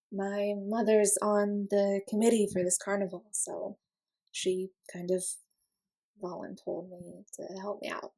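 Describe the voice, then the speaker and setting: A woman acts out an awkward young woman opening up to a friend in an intimate audio roleplay. She talks casually and slowly, with hesitations and self-deprecating, sarcastic wit. The voice is slightly husky and noticeably creaky. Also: mid-range voice, warm tone, exaggerated voice, poor audio. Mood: sheepish, wry.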